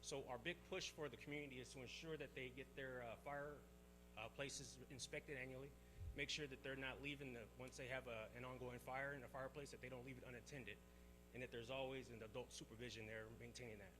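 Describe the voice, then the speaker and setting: A man reporting to a town council for the fire department, speaking haltingly with many fillers and restarts. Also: medium pitch, fairly even intonation, background noise.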